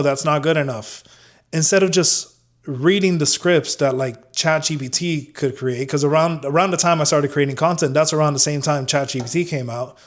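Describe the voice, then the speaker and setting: A man vlogging an unscripted motivational talk, earnest and off the cuff, with frequent fillers and restarts. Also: fairly high pitch.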